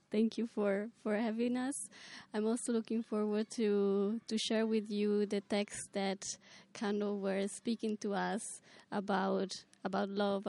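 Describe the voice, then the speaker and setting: A woman's voice: medium pitch, creaky, warm tone, dry recording. A woman introducing herself on a panel, thanking her hosts and repeating words as she goes. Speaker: panel speaker.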